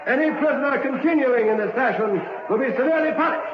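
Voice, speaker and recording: An actor playing a dutiful, blunt prison warder in an old radio drama, plain-spoken and respectful of rank. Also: male voice, very high voice, fast. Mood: commanding. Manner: deferential to superior, plain-spoken. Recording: roomy, muffled, noisy old radio recording.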